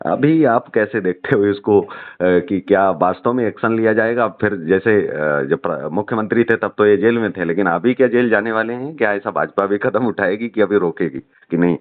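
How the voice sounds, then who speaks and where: A man's voice: fairly low pitch, moderate pace, hardly any pauses. A man chatting casually about politics over the phone, putting probing questions to the other speaker. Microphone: phone call. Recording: muffled, narrowband phone call recording.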